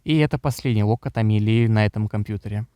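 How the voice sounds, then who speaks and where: A man's voice: on the low side, quick pace, warm tone, clear, resonant tone, no echo. A man reading scripted narration for a game walkthrough video.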